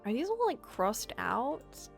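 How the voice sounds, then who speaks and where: A woman reading a visual novel aloud as a streamer, in expressive narration with casual asides. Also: fairly high pitch, takes it slowly, vocal fry, faint music behind.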